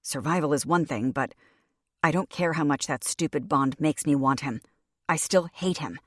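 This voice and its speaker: A woman's voice: low voice, normal speed, no echo, studio quality. A woman voicing a defiant young woman held captive, blunt and scornful.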